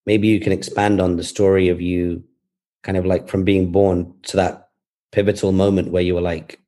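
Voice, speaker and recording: A man, a podcast producer interviewing the host, laying out his questions in a measured, step-by-step way. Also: deep voice, level tone, warm tone.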